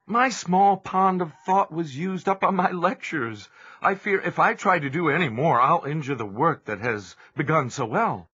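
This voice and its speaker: An actor playing an earnest, devout young preacher in an audio drama, with some narration. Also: male voice, on the high side, animated, moderate pace, sharp tone, breathy edge, exaggerated voice. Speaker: narrator and lead character. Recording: dull lo-fi audio with music.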